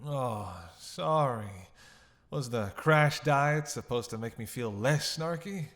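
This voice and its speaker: Guy doing a sarcastic flirty vampire prisoner for a roleplay audio, drawls it out slow, mid-pitched, defiant and teasing. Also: animated, fairly bright tone. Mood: sardonic, playful. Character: snarky captive male vampire, playful, defiant, flirting. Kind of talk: roleplay audio drama acting.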